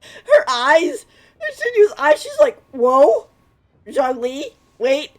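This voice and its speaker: A woman's voice: sits high, very animated, slow. A woman streaming a game with casual live commentary, informal and gushing as she reacts.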